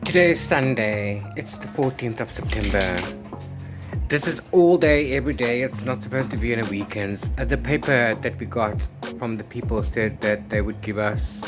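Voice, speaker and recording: A book vlogger hosting a read-along, talking casually and informally in a halting, rambling way with self-corrections. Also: medium pitch, over music. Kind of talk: casual, rambling spoken vlog.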